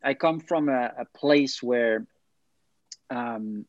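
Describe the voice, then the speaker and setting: A man shares his background as an interview guest, pausing on fillers and restarting his sentences. The recording is dry and dull, with the muffled sound of lo-fi call audio. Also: mid-range voice, no echo. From France. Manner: thoughtful, hesitant with fillers and restarts. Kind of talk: casual personal storytelling in interview.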